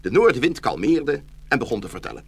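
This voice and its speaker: Very animated male fairy-tale narrator. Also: mid-range voice, fast talker, husky. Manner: expressive storytelling.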